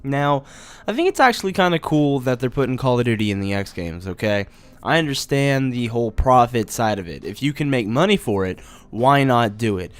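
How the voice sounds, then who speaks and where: A young man with a nasal, stuffy voice from allergies, giving a blunt, opinionated casual rant as a gaming vlogger. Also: mid-range voice. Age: young adult. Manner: blunt, opinionated, many "you know"s.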